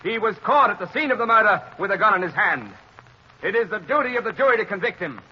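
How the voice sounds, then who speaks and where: An actor playing a forceful, oratorical district attorney who presses his accusation in a 1930s radio drama. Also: sits high, animated, quick pace, husky. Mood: accusing. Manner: oratorical, insistent. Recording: muffled, noisy 1930s radio recording.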